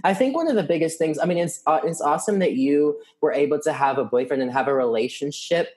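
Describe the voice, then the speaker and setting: A man's voice: fairly high pitch, fast talker, darkish tone. A young man in his twenties chatting casually and candidly, often laughing and swearing lightly. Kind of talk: casual chat.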